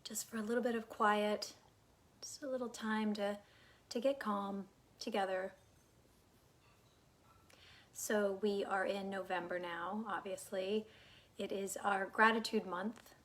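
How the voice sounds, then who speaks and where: A woman leading a guided meditation in a calm, unhurried, soothing way, her soft phrases trailing off into breathiness. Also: medium pitch. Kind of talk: guided meditation, gentle instruction.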